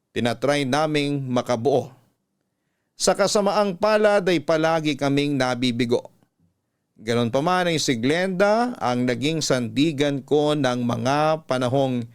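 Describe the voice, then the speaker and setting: A man, a radio host, reading a listener's letter as a measured, sentimental first-person story. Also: mid-range voice.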